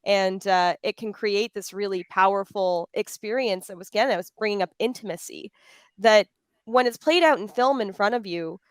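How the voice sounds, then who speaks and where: A woman who is a voice actor moderating a panel, speaking in expressive, deliberate phrases. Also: medium pitch, smooth, no room sound.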